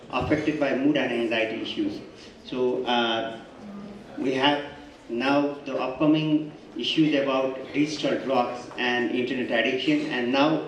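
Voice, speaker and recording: A man chairing a conference session, speaking haltingly with many fillers. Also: mid-range voice. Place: large echoey conference hall. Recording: echoey hall, distant mic.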